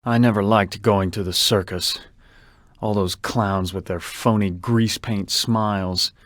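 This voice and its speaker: A man's voice: lowish voice, dry recording, exaggerated voice. Suave, brooding game con man, dry recording. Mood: wary, suspicious. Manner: theatrical, musing aloud. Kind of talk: video game character monologue.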